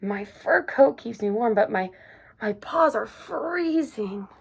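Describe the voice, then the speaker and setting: A woman's voice: on the high side, expressive, slow pace, vocal fry, slightly rough, exaggerated voice. A woman voicing a friendly, chatty grown otter in a storybook, drawing her words out.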